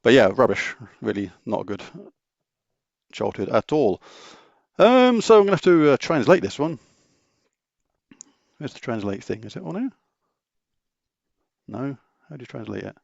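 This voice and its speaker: A middle-aged man with a British accent chats through viewer questions in a matter-of-fact, rambling way, often restarting his sentences. His voice has a gravelly edge. The recording is dry and close, with a slightly dull, lo-fi tone. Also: mid-range voice, big pitch swings, moderate pace, pauses often, no echo. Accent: British English. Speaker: YouTube gaming channel host answering viewer questions. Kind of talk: casual Q&A chat.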